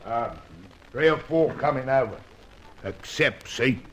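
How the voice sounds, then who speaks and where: An actor playing a terse, suspicious underworld barman, gruff and slangy, in old-time radio detective style. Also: medium pitch, expressive, measured pace, a bit bright, rough recording. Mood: curt. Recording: hissy old radio recording.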